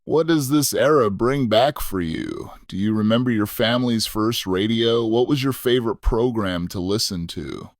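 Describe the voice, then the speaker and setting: A man reading a scripted, nostalgic first-person story as a narrator, in a measured, reflective way. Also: fairly low pitch, no echo, clean studio sound.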